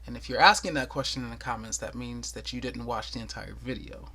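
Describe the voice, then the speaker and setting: A man's voice: medium pitch, brisk, gravelly, pushed and bright, breathy edge. Soothing-voiced man teaching crochet. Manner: thorough, chatty, with light laughs and counting aloud. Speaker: crochet tutorial host. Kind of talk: casual step-by-step tutorial.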